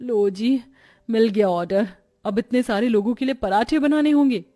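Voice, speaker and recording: A woman's voice: on the high side, moderate pace, dark tone, no room sound, soft background music, lightly acted. A woman voicing an overworked young new bride who grumbles plaintively in a cartoon story. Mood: weary, complaining. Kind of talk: animated moral story dialogue.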